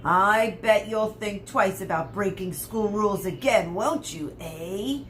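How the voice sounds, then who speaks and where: A woman's voice: medium pitch, slow, slight background noise, lightly acted. A woman reading aloud voices a spiteful old male caretaker who gloats menacingly. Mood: gloating.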